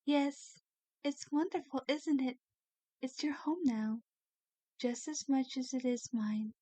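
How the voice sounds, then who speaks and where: A woman playing a kindly, doting vampire lady in an ASMR roleplay, speaking gently with a genteel, coaxing manner. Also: high-pitched, slow pace, no echo. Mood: doting, pleased. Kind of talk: ASMR roleplay, soft scripted acting.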